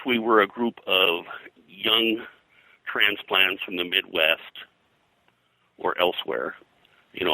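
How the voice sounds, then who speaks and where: An older man reminiscing in an interview as a comics industry veteran, in a halting, rambling way peppered with "you know". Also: low-pitched, bright, forceful tone, no room sound, poor audio.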